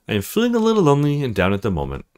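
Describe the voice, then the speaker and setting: A man's voice: mid-range voice, huge pitch swings, racing, a little mellow, smooth. A man voicing a spunky, teasing young woman from a visual novel with a playful, bouncy delivery. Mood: playful, lonely.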